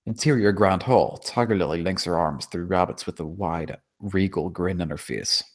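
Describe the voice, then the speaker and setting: Low male voice, scripted narration, stage directions, screenplay table read. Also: normal speed.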